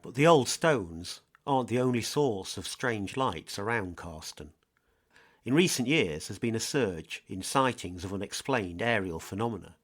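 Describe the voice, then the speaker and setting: A man narrating a scripted true-crime mystery in a measured, dramatic, portentous manner. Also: on the low side, expressive. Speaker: narrator, host.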